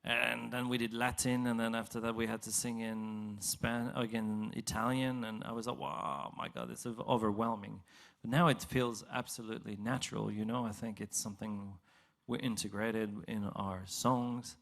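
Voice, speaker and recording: Gravelly-voiced man, low and halting. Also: fairly even intonation, a bit bright. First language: not English. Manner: halting, laughs between thoughts. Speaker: singer in a vocal group. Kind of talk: casual interview answers.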